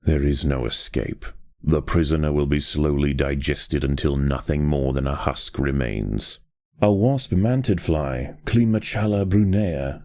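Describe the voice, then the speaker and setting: A man narrating a scripted nature documentary in a measured, solemn way with precise enunciation. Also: low-pitched, a little mellow, no echo, studio quality.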